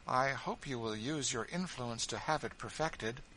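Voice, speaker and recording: A man voicing an earnest, energetic middle-aged spinster who pleads her case. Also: unhurried, slight background noise. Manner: earnest, pleading, raised pitch.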